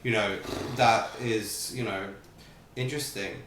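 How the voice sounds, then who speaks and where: A man co-hosting a reaction show, speaking hesitantly and in measured terms about an episode. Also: fairly low pitch, steady tone, a bit bright. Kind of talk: casual chat reviewing an episode. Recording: roomy sound, distant mic, faint noise.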